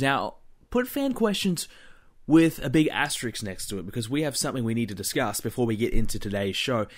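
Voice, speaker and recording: A man hosting a podcast solo, chatting informally and bluntly to his listeners. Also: medium pitch. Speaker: podcast host. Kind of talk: casual solo podcast talk.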